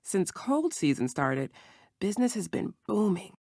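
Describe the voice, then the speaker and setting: A woman playing a careful herbal shopkeeper in a video game, instructive and gentle. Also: medium pitch, sing-song, unhurried, slightly rough, no room sound, clean studio sound, heavily acted. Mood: welcoming, measured. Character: calm female herbal shopkeeper, patient, careful mentor.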